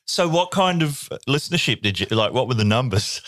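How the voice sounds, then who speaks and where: A man in his fifties, co-hosting a podcast in casual chat, wry and quick to laugh. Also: mid-range voice, animated, fairly bright tone, no echo. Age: 50s. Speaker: podcast co-host.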